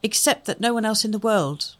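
A woman's voice: medium pitch, animated, a little fast, fairly bright tone. A woman from Wales co-hosting a casual craft chat show, chatty and rambling, with a self-deprecating humour. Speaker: co-host.

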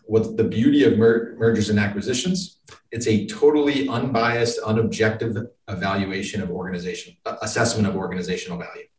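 A man's voice: lowish voice, steady tone, a little fast. An American man from the Boston area answering interview questions in a conversational, explanatory manner. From suburban Boston, United States. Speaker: interview guest, business professional. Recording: echoey room, distant microphone.

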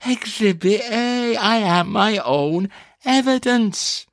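A man's voice: sits high, animated, slow, no echo, studio quality, full character voice. A man playing an accused poet in a theatrical interrogation, agitated, defiant and faltering as he protests. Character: accused poet, panicky, defiant, whiny suspect. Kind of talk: theatrical performance-poetry interrogation dialogue.

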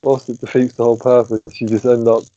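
A man's voice: medium pitch, level tone, quick pace, dark tone, dull audio. A man co-hosting a casual podcast, talking hesitantly with many ums, recorded on an old phone headset. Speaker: podcast co-host.

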